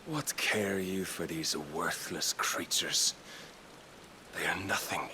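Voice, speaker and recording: Sinister game villain, male zealot, very deep, grandiose sermonizing, contemptuous, music behind. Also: bright, forceful tone, slight background noise, heavily acted, poor audio. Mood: cold contempt. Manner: grandiose, measured, sermonizing delivery. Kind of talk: theatrical fantasy video game acting.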